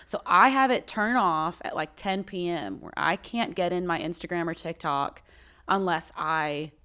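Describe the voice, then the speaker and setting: A woman's voice: fairly low pitch, unhurried, bright, forceful tone, clean tone. A young woman singer co-hosting a podcast, chatting casually and animatedly in a studio. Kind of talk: casual chat. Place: recording studio.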